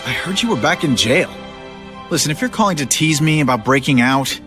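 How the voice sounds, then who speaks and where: A male actor playing an earnest young superhero in a video game, with a casual, slightly awkward delivery and a lightly husky voice. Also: a little high, expressive, a little fast, a bit bright, faint music behind. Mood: exasperated.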